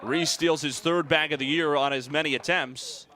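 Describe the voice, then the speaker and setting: A young American man calling baseball play-by-play in a measured, articulate way. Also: a little high, steady tone, sharp tone. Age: young adult. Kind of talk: sports play-by-play commentary.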